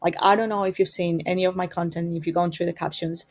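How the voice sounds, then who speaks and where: A woman's voice: on the low side, flat delivery, fast talker. A woman with a strong Spanish accent, a native Spanish speaker, teaching an informal marketing class in a conversational way and often searching for words. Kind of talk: informal master class talk.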